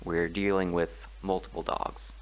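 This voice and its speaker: Slow, deep-voiced man teaching grammar. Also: level tone. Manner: slow, measured explaining with frequent ums and pauses. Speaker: language tutor. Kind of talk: screen-recorded grammar tutorial.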